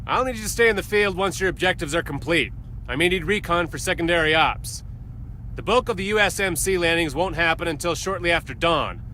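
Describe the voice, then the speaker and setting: An actor playing a stern military captain in a video game, giving a formal, authoritative briefing with clipped delivery. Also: male voice, on the high side, brisk, sharp tone, slight background noise. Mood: stern, commanding.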